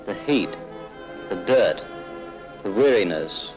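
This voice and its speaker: Male 1940s film narrator, lowish pitch, solemn and emphatic scripted delivery, music behind. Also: lively intonation, lo-fi sound. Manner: grave, emphatic, measured phrasing. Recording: old film soundtrack.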